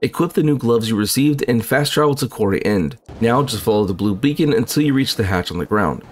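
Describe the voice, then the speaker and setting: A man's voice: medium pitch, animated, a little fast, slight background noise. A man reading a scripted video game walkthrough in a plain, instructional way. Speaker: gaming guide narrator. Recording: faint game ambience behind voice-over.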